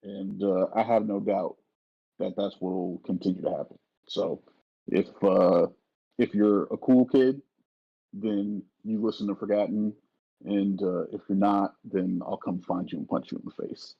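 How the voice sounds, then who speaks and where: A man chatting casually and effusively in a halting, slangy way on a slightly muffled mic. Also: lowish voice, fairly even intonation, creaky, breathy edge. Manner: halting, uses y'all and slang. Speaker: voice actor.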